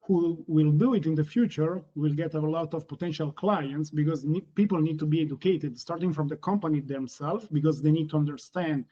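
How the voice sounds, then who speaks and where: A man from Italy with an Italian accent, speaking as a guest on a panel, halting and often correcting himself mid-word. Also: a little high, mellow, muffled recording. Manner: halting, frequent self-corrections. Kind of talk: panel discussion.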